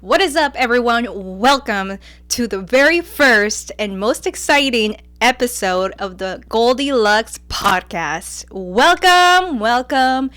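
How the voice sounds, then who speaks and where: A woman's voice: a little high, lively intonation, takes it slowly, fairly bright tone. Chatty Mexican-accented woman podcaster. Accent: Mexican Spanish. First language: Spanish. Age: 20s. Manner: chatty, lots of fillers like um and like. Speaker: podcast host. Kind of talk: casual solo podcast chat.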